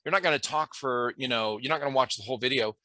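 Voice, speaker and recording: American dude hosting a podcast, bright forceful voice, dry close sound. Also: male voice, mid-range voice, quick pace, no room sound. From United States. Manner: laid-back, says "bro" a lot. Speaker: podcast host. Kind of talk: casual chat.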